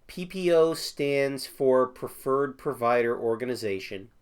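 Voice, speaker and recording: A man with a hoarse voice from a cold, explaining plan types off the cuff and often clearing his throat. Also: medium pitch, expressive, unhurried. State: hoarse, getting over a cold. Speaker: insurance agent. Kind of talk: casual explainer talk.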